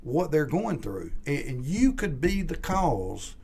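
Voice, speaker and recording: A man's voice: a little high, big pitch swings, slow pace, creaky, a little mellow, slightly rough. A man in his forties from Georgia with a Southern accent, talking casually on a podcast. He rambles and repeats words as he starts each thought. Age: 40s. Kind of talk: casual chat.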